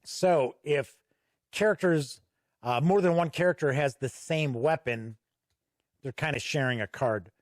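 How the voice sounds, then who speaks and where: A man giving a casual product walkthrough as a reviewer, conversational and halting with frequent pauses. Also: fairly high pitch, no echo, studio quality. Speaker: tabletop game reviewer and host.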